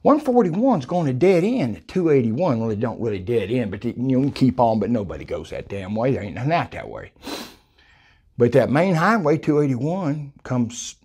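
A man's voice: medium pitch, very animated, moderate pace, warm tone, slightly rough. An older man with a South Texas accent telling a hunting story in a folksy, colorful way, recorded on a lapel mic in a small room. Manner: folksy, colorful, acts out quoted voices. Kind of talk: casual storytelling.

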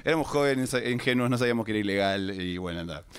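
A man's voice: fairly low pitch, expressive, fast, clear, resonant tone. A Uruguayan man, rambling through a self-deprecating anecdote at a cinema press conference, speaking into a stand mic. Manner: rambling, self-deprecating storyteller with many restarts. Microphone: handheld mic on a stand.

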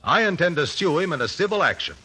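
A righteous American attorney in an old radio drama. His declamatory voice is sharp and forceful, with a husky edge and sweeping pitch. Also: big pitch swings, quick pace, heavily acted. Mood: measured, confident. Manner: formal, declamatory. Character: forceful, righteous male attorney confronting a culprit in court. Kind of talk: 1950s radio acting.

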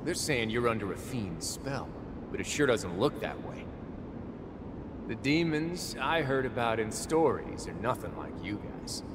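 A man's voice: mid-range voice, big pitch swings, unhurried, big-room sound, background noise. A man playing a proud, scornful army general in a video game, with a theatrical, haughty manner. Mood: grudging respect. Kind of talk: video game cutscene dubbing.

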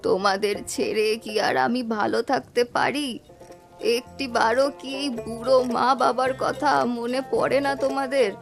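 A woman voicing an affectionate, gently reproachful elderly village mother in a cartoon family drama. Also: on the high side, fairly even intonation, moderate pace, creaky, music in the background.

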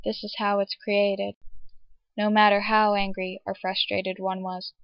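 A woman voicing a blunt, aloof little anime schoolgirl with a dry, deliberate delivery. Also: medium pitch, little pitch movement, no room sound, muffled recording, pitched below the performer's own voice, close to an everyday voice. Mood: wistful.

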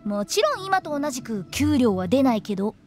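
A woman's voice: sits high, sing-song, normal speed. A woman voicing a playful, cryptic young female funeral director in a Japanese anime game, with a teasing, theatrical delivery. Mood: encouraging, breezy. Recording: studio voice over background music.

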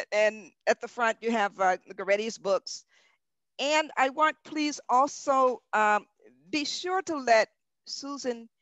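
A woman's voice: mid-range voice, fairly bright tone, no room sound. Older woman moderator on a headset. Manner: hesitant, many fillers, friendly. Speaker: panel moderator. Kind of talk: hosting a video conference panel. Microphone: headset microphone on a video call.

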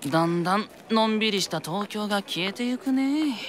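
A woman's voice: medium pitch, expressive, normal speed, natural voice. A woman playing a mild, easygoing young spirit who speaks politely and chattily in a radio drama. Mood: grumbling.

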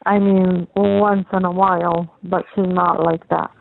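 A young adult woman chatting casually on a phone call, talking in a halting, rambling, repetitive way. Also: on the low side, little pitch movement, creaky. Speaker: caller talking with partner. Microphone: telephone call. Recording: tinny phone line with faint noise.

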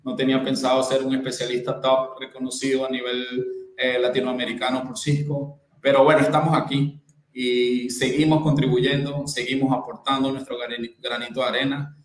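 A man's voice: medium pitch, level tone, normal speed. A man in his thirties, a guest on a video-call interview, speaking earnestly with frequent restarts and repeated phrases. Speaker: guest network specialist. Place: bright indoor room. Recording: echoey room.

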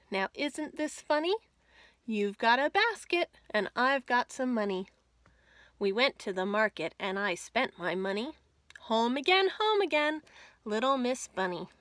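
A woman in her thirties reading picture books aloud as a storytime host, expressive and measured, as if for young children. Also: on the high side, no room sound. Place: small indoor room at home with bookshelves.

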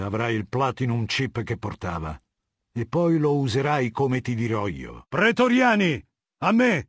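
A middle-aged warlord for a video game, imperious and ruthless. His male voice sits at a medium pitch and is measured, commanding and full of scorn. The sound is very dry, with no room around it. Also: no room sound. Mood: stern command, then shouted alarm. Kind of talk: dramatic game dubbing, scripted dialogue.

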